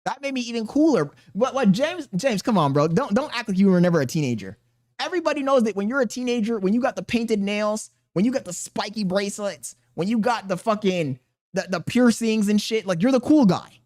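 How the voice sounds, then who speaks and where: A man's voice: high voice, lively intonation, fairly quick, darkish tone. A man with a thin voice hosting a reaction video, chatting casually to his viewers in a blunt, mocking, profane way. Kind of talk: casual reaction commentary to chat.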